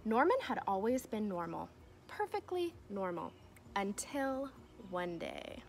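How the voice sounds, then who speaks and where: A young woman reads a children's story in a medium-pitched voice. Her delivery is expressive, with emphatic swells on key words. The recording is made outdoors, with some ambient noise behind her. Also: a little slow, vocal fry. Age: young adult. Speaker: teacher reading aloud to pupils. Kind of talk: children's storybook read-aloud. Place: outdoors in a fenced backyard.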